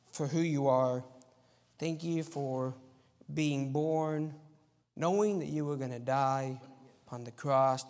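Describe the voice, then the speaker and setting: A man who grew up in Argentina, preaching as a visiting missionary in an informal, plain-spoken way to a congregation. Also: medium pitch. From Argentina, as a missionary kid. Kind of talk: sermon with anecdotes and prayer. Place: church sanctuary, live Sunday service. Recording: echoey church hall with long reverb.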